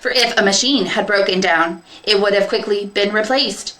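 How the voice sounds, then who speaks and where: A woman's voice: medium pitch, moderate pace, pushed and bright, reverberant, close to an everyday voice, rough recording. A woman voicing a little clerical robot that reasons in a precise, stiff robotic manner. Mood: coolly logical. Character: little pen propeller, informative deductive clerical robot.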